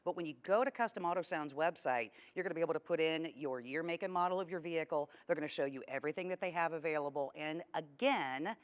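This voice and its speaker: A woman with a low voice co-hosts a car show. She walks through product features in a chatty, informative way, like someone explaining things to a colleague and viewers at once. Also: fast, hardly any pauses. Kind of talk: product showcase presenting.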